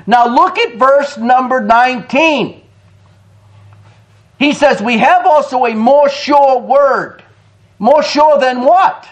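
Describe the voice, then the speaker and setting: A middle-aged man preaching a sermon in a church, emphatic, with quick chuckling asides. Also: very high-pitched, animated. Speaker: pastor. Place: church sanctuary.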